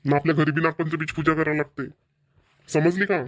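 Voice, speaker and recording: Man voicing a fast-talking rural Maharashtrian cartoon villager. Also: a little high, steady tone, no echo, studio quality, natural voice. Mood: pleading. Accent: Maharashtrian, rural Vidarbha Marathi. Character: village men, dutiful sons and put-upon husbands. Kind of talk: cartoon comedy dialogue.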